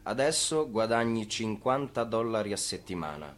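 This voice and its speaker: An actor playing a skeptical narrator in a radio drama, addressing characters in an insinuating, questioning way. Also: male voice, on the low side, very animated, normal speed. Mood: informative.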